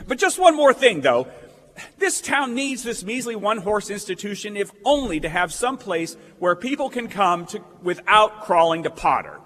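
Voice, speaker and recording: Earnest young small-town idealist played by a male actor, high and swooping, impassioned radio-play delivery. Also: very animated, moderate pace, fairly bright tone. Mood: defiant. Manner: impassioned, stammering, folksy speech. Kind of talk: staged old-time radio play acting. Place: theatre stage. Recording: reverberant stage hall recording.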